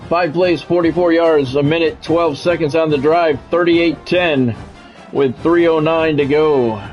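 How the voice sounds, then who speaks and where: Higher-voiced American man calling a game. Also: fairly even intonation, a little slow, darkish tone, highs cut off, soft background music. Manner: conversational, switching into punchy announcer-style calls. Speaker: streamer, sports video game play-by-play announcer. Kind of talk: sports commentary mixed with casual chat to stream viewers.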